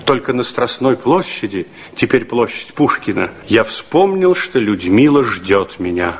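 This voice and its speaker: An actor playing a reflective older man who recalls his youth, narrating a radio drama in a measured, wistful way. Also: medium pitch, sing-song, breathy edge. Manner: measured, wistful, with weighty pauses. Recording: 1960s radio recording, loud music behind.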